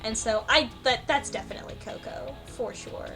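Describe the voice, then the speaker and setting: A woman's voice: on the high side, moderate pace, fairly bright tone. A woman explaining things informally in a casual group discussion. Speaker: book club podcast panelist. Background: music behind the voice.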